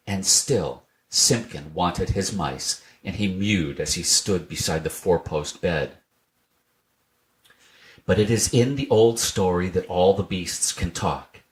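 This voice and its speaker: A man narrating a children's storybook in an expressive, scripted reading style. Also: fairly low pitch. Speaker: storybook reader.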